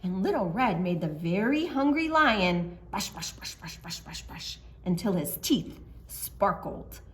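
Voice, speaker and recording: A woman's voice: medium pitch, very animated, a little slow. A woman working as a children's storyteller, speaking expressively and simply as if to young children. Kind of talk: animated storytime narration and simple craft explanation for children.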